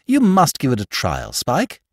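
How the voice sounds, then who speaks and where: Man playing a suave, animated gentleman thief. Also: medium pitch, a little slow, dry recording, studio quality, voice pushed up, full character voice. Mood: consoling. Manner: articulate, teasing, assured.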